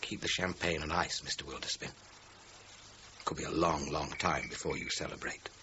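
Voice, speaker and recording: A man playing a senior British police detective in a radio crime drama, middle-aged, speaking in a measured, formal, sardonic way. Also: a bass voice. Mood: coolly accusing. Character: senior male police detective, methodical, coolly accusing. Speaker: actor. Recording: muffled audio with faint noise.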